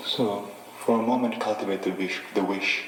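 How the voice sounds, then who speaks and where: A man leading a guided meditation as a teacher, speaking in an unhurried, gently instructing way with pauses. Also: lowish voice, steady tone. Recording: echoey reverberant room with faint noise.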